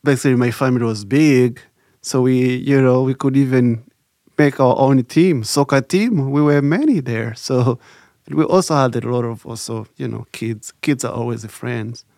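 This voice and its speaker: A man, telling his life story in a reflective, halting way with many fillers. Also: medium pitch, a little mellow, no room sound, clean studio sound. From Rwanda. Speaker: interview guest. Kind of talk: recalled life story, casual.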